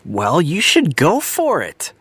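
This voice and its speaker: A man's voice: fairly high pitch, wildly swooping pitch, slow, a bit bright, slightly rough, no room sound, exaggerated voice. A man playing a friendly, encouraging TV actor in a naturalistic audio drama. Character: friendly male TV actor at a script read-through.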